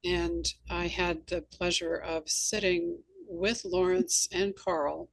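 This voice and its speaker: Woman host chatting in an interview, kinda low voice with fry, really dry close sound. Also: little pitch movement, takes it slowly, no room sound, rough recording. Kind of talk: conversational interview and anecdotes.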